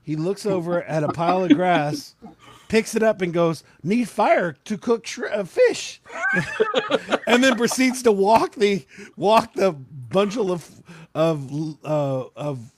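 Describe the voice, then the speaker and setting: A man's voice: sits high, expressive, takes it slowly. A man with a scratchy voice hosting a casual live-stream chat, talking with lots of fillers and laughs. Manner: chatty, laughs often, many fillers. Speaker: podcast host.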